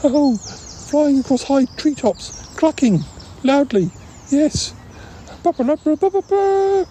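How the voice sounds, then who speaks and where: A man's voice: very high voice, expressive, takes it slowly, mellow, slight background noise, exaggerated voice. A man voicing a friendly, dithering hen who clucks and stammers in an audio comedy. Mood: excited, dithering.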